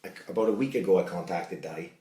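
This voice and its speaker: Middle-aged podcast host, brisk sweary storyteller, deep voice, roomy distant sound. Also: male voice, mellow. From Wales. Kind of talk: casual chat. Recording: echoey room, distant mic.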